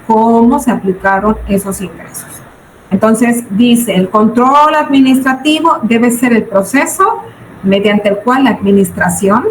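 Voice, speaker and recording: A woman in her forties with a Mexican accent, giving an informal lecture to students in a didactic, question-and-answer manner. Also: fairly high pitch. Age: 40s. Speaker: business budgeting teacher. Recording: roomy echo, background noise.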